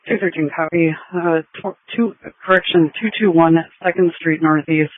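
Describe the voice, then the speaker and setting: A man's voice: a little high, fairly even intonation, moderate pace, no room sound. A man working as a police dispatcher, speaking in clipped, procedural phrases over police radio. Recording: phone-like narrow-band police scanner audio.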